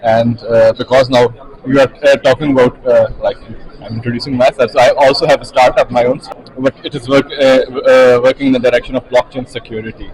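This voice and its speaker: A man's voice: mid-range voice, little pitch movement, fairly quick, darkish tone, low-quality recording. A man from Pakistan in his thirties, a researcher giving a casual interview at a conference. He speaks thoughtfully and uses a lot of fillers. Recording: crowd noise behind.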